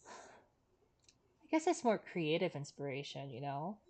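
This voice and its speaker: A woman streaming a game, chatting casually in a rambling, halting, reflective way. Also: low-pitched, very animated, darkish tone. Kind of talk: casual livestream chat. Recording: stream voice over game music.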